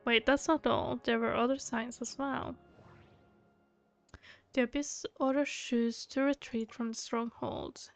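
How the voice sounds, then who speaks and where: A woman's voice: on the high side, gravelly, clean tone. A woman streaming a game playthrough, reading dialogue aloud and reacting casually. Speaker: gaming streamer.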